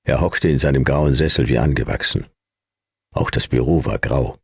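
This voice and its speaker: An actor playing a laconic, world-weary private detective in a radio play, narrating and talking in a dry, clipped, deadpan way. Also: male voice, extremely low pitch, moderate pace, creaky, breathy edge, no room sound, heavily acted. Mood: dry narration.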